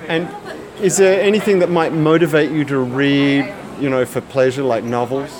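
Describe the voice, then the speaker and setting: A man interviewing someone, halting and repeating words as he forms his questions. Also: mid-range voice, fairly even intonation, crowd noise.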